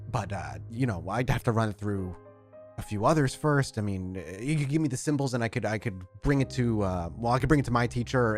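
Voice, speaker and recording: A man's voice: on the low side, lightly acted. Guy doing a jittery young apprentice sorcerer, stammers and trips over his words, um and uh everywhere, faint music underneath. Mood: nervous, hesitant. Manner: stammering, hesitant, many fillers. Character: nervous young male apprentice blood sorcerer. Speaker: storyteller voicing a character.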